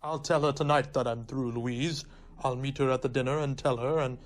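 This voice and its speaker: Male actor as possessive society suitor, medium-pitched, insistent, melodramatic, old-time radio play. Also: steady tone, moderate pace, close to an everyday voice. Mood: ardent pleading. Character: lovesick, possessive, hot-headed society young man.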